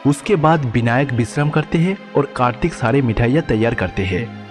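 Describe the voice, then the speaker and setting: A man's voice: medium pitch, animated, moderate pace. A man narrating a scripted devotional storybook tale as the narrator. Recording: long reverb, background music.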